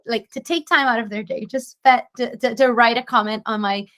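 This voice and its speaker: Woman in her twenties, from Slovenia, bubbly, chatty, lots of fillers, slightly high voice. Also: steady tone, a little fast, a bit bright. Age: 20s. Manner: bubbly, many fillers and restarts. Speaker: guest singer-songwriter. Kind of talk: casual interview chat.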